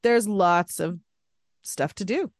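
A woman co-hosting a podcast, chatting casually, quick to laugh and chiming in with agreement. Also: medium pitch, animated, no echo, clean studio sound. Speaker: podcast co-host. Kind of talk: casual chat.

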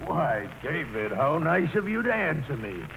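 An actor playing a folksy, good-natured older uncle who exclaims and stammers, in 1940s radio drama style. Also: male voice, fairly high pitch, big pitch swings, a little slow, creaky, exaggerated voice. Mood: genial, admiring. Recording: noisy vintage radio broadcast recording.

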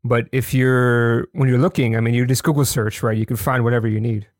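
A man's voice: mid-range voice, little pitch movement, brisk, darkish tone, dry recording, studio quality. A man hosting a casual podcast interview over a video call, chatty and apologetic, often tagging his statements with "right?". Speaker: podcast host.

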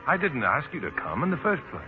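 A man's voice: a little high, wildly swooping pitch, quick pace, over music. An actor playing an earnest, conflicted newspaperman in 1940s radio drama style. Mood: exasperated. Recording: tinny, noisy 1948 radio broadcast.

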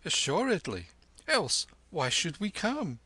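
A quick-witted street boy with a ready answer for everyone, voiced by a man. The voice sits well above the reader's own, bright and lively, with a dry close sound. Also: high voice, slightly rough, no echo, voice pushed up, heavily acted. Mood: cheeky. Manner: prompt, cheeky.

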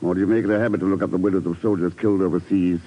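An actor playing a cool, dry, no-nonsense secret agent in a 1950s radio drama, clipped and wry. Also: male voice, sits low, steady tone, racing. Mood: dryly suspicious. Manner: clipped, unflappable, wry, probing. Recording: dull, noisy old radio recording.

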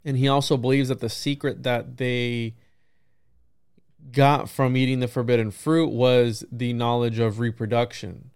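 A male podcast host with a smooth, clear voice, recorded close and dry. He talks casually in a conversational interview, rambling through his ideas and circling back to reword them. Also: mid-range voice, level tone, a little slow, darkish tone, dry recording.

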